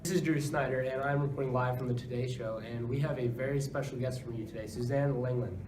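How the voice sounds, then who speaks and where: A man's voice: mid-range voice, steady tone, fast talker, roomy sound, recorded from a distance. Male reporter, noisy recording. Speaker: news reporter interviewing a guest. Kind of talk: scripted mock news interview.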